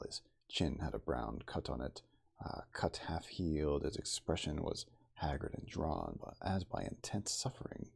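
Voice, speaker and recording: Male ASMR reader, very low and raspy, expressive storytelling delivery. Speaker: audiobook-style reader. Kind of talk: ASMR reading of a classic novel.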